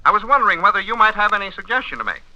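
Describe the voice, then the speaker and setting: A harried, polite traveler in a 1940s radio comedy. His high, very sharp voice is hurried, on a dull vintage recording. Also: big pitch swings, exaggerated voice. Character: harried, polite out-of-town businessman caller.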